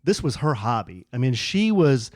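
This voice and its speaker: Animated middle-aged man telling a story. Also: medium pitch, normal speed, no room sound, studio quality. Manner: animated storyteller, acts out quoted dialogue. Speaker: podcast co-host. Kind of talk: casual storytelling chat.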